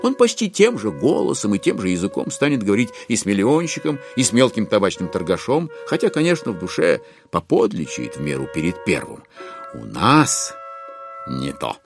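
A man's voice: fairly low pitch, wildly swooping pitch, normal speed, creaky, soft background music, exaggerated voice. A man acting as the wry, knowing storyteller of a classic satire, narrating with theatrical, ironic phrasing. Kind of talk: scripted dramatic narration.

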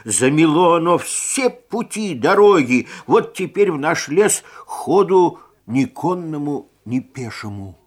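A man's voice: a little high, sing-song, slow pace, hardly any pauses, full character voice. A man voicing a plain-spoken village school watchman in a fairy tale, folksy, hesitant and resigned. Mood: regretful.

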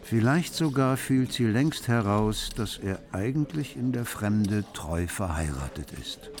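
An actor narrating a literary radio play as a storyteller. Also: male voice, lowish voice, expressive, measured pace.

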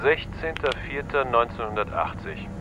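An actor playing an officious registry clerk in a German radio crime drama, terse and bureaucratic, heard as if over a phone. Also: male voice, mid-range voice, big pitch swings, moderate pace, over music, lightly acted. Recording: dull, band-limited phone call effect.

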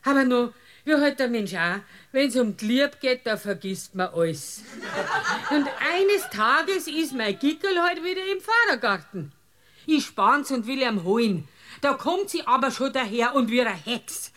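A high-pitched, indignant Bavarian village woman testifies in a radio courtroom comedy. She is gossipy and aggrieved, telling her tale in broad dialect with theatrical outrage. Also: expressive, a little slow, a bit bright. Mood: aggrieved storytelling. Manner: aggrieved, dramatic storytelling. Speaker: witness in a courtroom drama.

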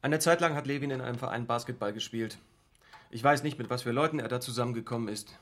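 A man's voice: mid-range voice, fast, fairly bright tone, lightly acted. An actor playing a detached, disapproving middle-aged father in a radio drama, speaking stiffly and formally. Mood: resigned, disapproving.

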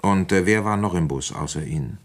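An actor playing a dogged village police inspector in a German radio play, questioning in a terse, methodical way. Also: male voice, deep voice, fairly quick. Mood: probing.

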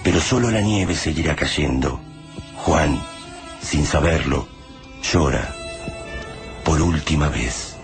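An actor as the solemn, dramatic storyteller of a bleak apocalyptic radio drama, reading literary scripted narration. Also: male voice, extremely low pitch, slow pace, pauses often, faint music behind, exaggerated voice. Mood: mournful.

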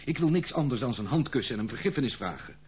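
A man's voice: medium pitch, lively intonation, fast talker, lightly acted. An actor in a radio play playing a disowned prodigal son, formal, insistent and theatrical, pleading his case with indignation. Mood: pleading, indignant. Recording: tinny, phone-like old radio play, slight hum.

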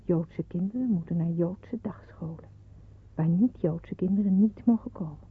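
An actress playing a grave young woman narrating a radio drama in the first person, hushed and measured. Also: fairly low pitch. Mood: grave, somber. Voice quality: very breathy, hushed. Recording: muffled phone-like old radio recording.

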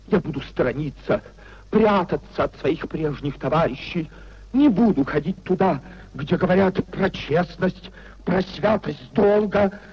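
Despairing young idealist husband, old radio play, slow and theatrical, high swooping voice. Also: male voice, breathy edge, full character voice. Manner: theatrical, emotional, with drawn-out repetitions. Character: anguished idealistic young husband abandoning his principles in despair. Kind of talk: 1950s radio play acting. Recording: dull 1950s radio drama recording.

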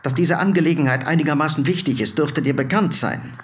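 A man's voice: on the high side, a little fast, lightly acted. An actor playing an anxious, proud middle-aged businessman in a radio drama, speaking in a curt, urgent way. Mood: tense, reproachful.

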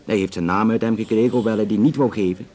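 A man's voice: fairly low pitch, fairly even intonation, fast, a little mellow, lightly acted. Flemish police investigator, acted by a man, talking casually. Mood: matter-of-fact, narrating. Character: Belgian police investigator, talkative and matter-of-fact. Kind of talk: naturalistic radio drama dialogue.

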